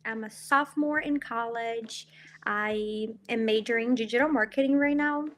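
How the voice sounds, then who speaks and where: A woman's voice: on the high side, normal speed, fairly bright tone, slight background noise. A woman in her twenties from Brazil with a Brazilian Portuguese accent, a student guest telling her story cheerfully in a casual interview, with many ums. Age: 20s. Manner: cheerful storyteller with frequent ums and light laughs.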